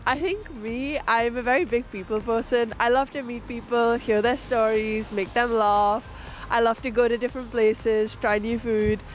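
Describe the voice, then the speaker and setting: A woman's voice: on the high side, steady tone, normal speed, slight background noise. A young woman from India introducing herself casually to camera in an upbeat, chatty way. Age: young adult. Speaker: news intern introducing herself.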